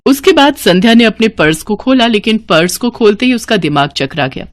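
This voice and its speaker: A woman's voice: a little high, big pitch swings, fairly quick, no echo. Dry-sounding woman narrating a story.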